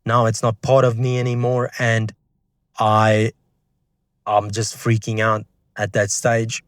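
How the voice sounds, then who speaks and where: Low, even-toned young man giving testimony. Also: slow, clear, resonant tone, dry recording, studio quality. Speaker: video creator sharing a personal testimony.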